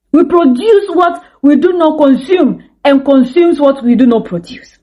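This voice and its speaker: A woman with a Ghanaian English accent delivers heated, rhetorical commentary. Her voice sits high, and it rises further as she presses each point. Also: highs cut off. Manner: rhetorical, indignant, asks many questions. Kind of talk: impassioned commentary.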